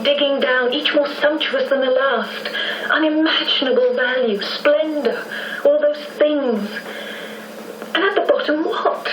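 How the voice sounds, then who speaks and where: An actress playing a sorrowful, gently reproachful young lady in a radio drama, with halting, wistful delivery. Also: high-pitched. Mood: wistful, disillusioned. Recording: noisy, echoey off-air radio copy, distant.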